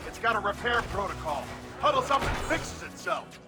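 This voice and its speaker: Defiant, clipped male alien soldier, game role, very high raised voice, helmet filter, music underneath. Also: very animated, normal speed, creaky, full character voice. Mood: defiant, grim. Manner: clipped military talk. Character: gruff, defiant male alien marine squad leader. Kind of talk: video game dialogue. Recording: game audio with music behind.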